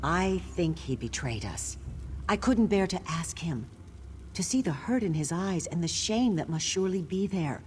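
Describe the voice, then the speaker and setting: An actress playing a guard captain's worried, discreet wife in a fantasy video game, speaking in an earnest, guarded way. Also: female voice, lowish voice, expressive, moderate pace, a little mellow, slight background noise, close to an everyday voice. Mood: troubled. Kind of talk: scripted game character dialogue.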